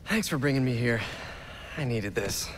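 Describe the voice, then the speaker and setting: A man's voice: mid-range voice, expressive, normal speed, in a large hall, noisy background, lightly acted. A young man acting an earnest, easygoing friend in video game cutscenes, talking in a sincere, casual, understated way. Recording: game audio with music behind.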